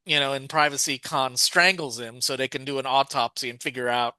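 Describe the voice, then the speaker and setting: A man with a very bright, forceful voice that stays crisp and resonant, talking casually as a podcast co-host. He walks through a story's plot step by step, correcting himself as he remembers details. The sound is close and dry. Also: mid-range voice, steady tone, fairly quick, no room sound. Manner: recaps plot at length, thinking aloud with restarts.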